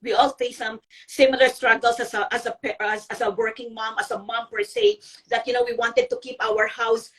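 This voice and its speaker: A woman's voice: on the high side, a little fast, few pauses, a bit bright, slightly rough, recorded from a distance, poor audio. A middle-aged woman from the Philippines, a guest blogger on a live video interview, speaking earnestly with many ums and restarts. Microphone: video call.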